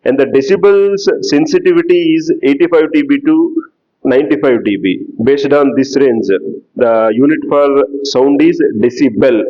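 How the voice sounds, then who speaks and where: A man giving a lecture in a slow, explanatory way that repeats key terms. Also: medium pitch, muffled recording. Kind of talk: classroom lecture.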